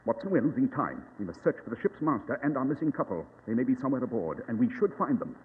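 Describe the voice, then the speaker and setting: An actor playing a commanding, keen-witted English gentleman detective, precise and clipped, with a British accent, in a 1940s radio drama. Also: medium pitch, big pitch swings, fast, husky, phone-quality sound. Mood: hushed urgency. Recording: noisy, phone-thin vintage radio recording.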